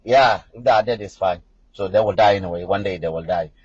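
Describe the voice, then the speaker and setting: A man's voice: low voice, fairly quick, a little mellow, slightly rough, no echo. A middle-aged man from South Sudan with a South Sudanese accent, whose first language is Nuer, talks insistently and repetitively as he answers viewer comments on a livestream. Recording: dull lo-fi sound.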